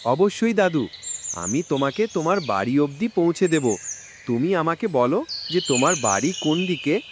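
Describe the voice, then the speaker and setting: Man playing an earnest, higher-voiced young boy. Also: big pitch swings, moderate pace, background noise. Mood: reassuring. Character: brave, devoted young village boy. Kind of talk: cartoon voice acting.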